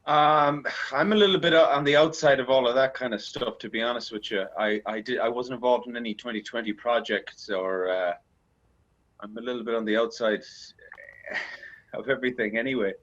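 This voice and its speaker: An actor talking casually in an interview, relaxed and self-deprecating. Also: male voice, mid-range voice, moderate pace, lo-fi sound.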